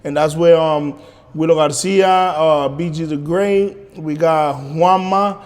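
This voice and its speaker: A man whose first language is Spanish talks as a podcast guest, loose and rambling, stacking fillers and false starts. His voice sits a little high for a man. A long hall reverb trails behind his words. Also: steady tone, slow pace. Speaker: guest musician. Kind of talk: casual interview chat.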